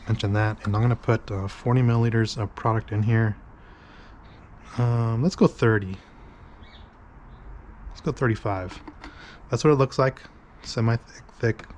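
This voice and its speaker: A man's voice: fairly low pitch, darkish tone, slight background noise. A man hosting a car detailing review in his garage, congested and nasal from a cold, rambling with many ums and restarts as he mixes product on his workbench. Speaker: car detailing channel host. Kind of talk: casual product review demo.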